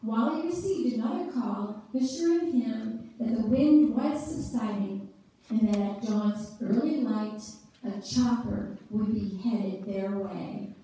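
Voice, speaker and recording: A woman's voice: a little high, takes it slowly, dark tone. A woman narrating a scripted story on stage in readers' theater style. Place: church sanctuary stage, indoors. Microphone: distant audience camera. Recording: echoey church hall from far.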